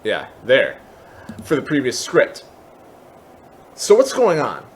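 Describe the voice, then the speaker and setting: A man hosting a software tutorial, explaining step by step in a methodical way. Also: medium pitch, wildly swooping pitch, slow pace. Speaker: tutorial host.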